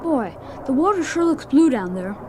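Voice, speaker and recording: A woman's voice: high-pitched, very animated, normal speed, noisy background. A woman voicing an eager, wide-eyed young boy in a 1970s radio drama. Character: eager young boy Tommy, pilot's son.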